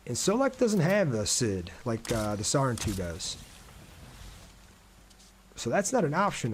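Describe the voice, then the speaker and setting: A man's voice: mid-range voice, slight background noise. A man hosting a casual gaming livestream, thinking aloud and chatting with viewers as he plays. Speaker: livestream gamer, host. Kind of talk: casual livestream gameplay commentary.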